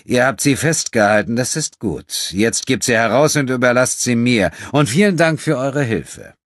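A man's voice: mid-range voice, animated, moderate pace, a bit bright, dry recording, studio quality, voice pushed up, close to an everyday voice. Man acting a hard, menacing stranger, raised voice. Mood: menacing, commanding. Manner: curt, commanding. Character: hard, menacing broad-shouldered stranger, coolly commanding.

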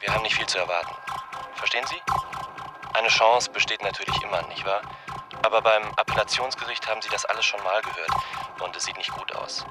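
An actor in a German radio drama playing an anxious, apologetic male defence lawyer who hedges and placates haltingly. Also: on the low side, steady tone, lightly acted. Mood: grave, careful. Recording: music behind the voice.

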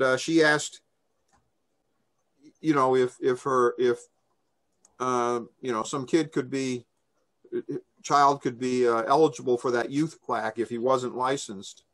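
Older man, medium pitch, informal presenter, conversational delivery, video call microphone. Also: fairly bright tone. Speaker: presenter giving a briefing. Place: small room indoors, on a video call.

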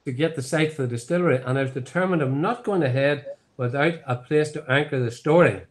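A man's voice: a little high, fast talker. Chatty older Northern Irish man. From Northern Ireland. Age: 70s. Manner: rambling storyteller with many fillers and restarts. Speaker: whiskey distillery founder. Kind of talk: online tasting conversation. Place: home office. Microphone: laptop webcam on video call.

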